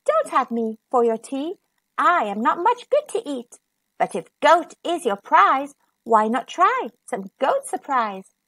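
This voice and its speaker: A woman's voice: high-pitched, big pitch swings, slow pace, a bit bright, no echo, exaggerated voice. A woman voicing a small, sweet young billy goat who coaxes the troll. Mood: coaxing. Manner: sweet and coaxing.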